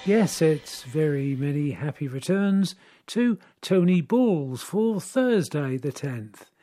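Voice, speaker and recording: A man presenting a local talking newspaper, reading a scripted introduction in a measured, friendly way. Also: fairly high pitch, animated, dark tone. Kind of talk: scripted news magazine introduction. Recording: music playing behind the voice.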